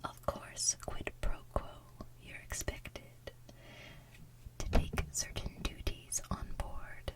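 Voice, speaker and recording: A woman hosting ASMR in a hushed, breathy, playful way, moving between whispered chat and soft singing. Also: slow. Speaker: ASMR channel host.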